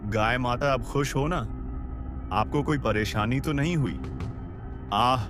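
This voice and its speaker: A man's voice: medium pitch, unhurried, natural voice. A man voicing a carefree, stubborn village drunkard in a cartoon story, full of exclamations. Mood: musing, kindly. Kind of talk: Hindi cartoon moral story acting. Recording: loud music under the voice.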